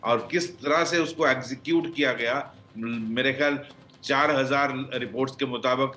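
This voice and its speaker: A man giving unscripted expert analysis on a news panel, halting as he searches for words and mixing in English phrases. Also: medium pitch, steady tone, bright, forceful tone, low-quality recording. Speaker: expert guest, former senior police officer.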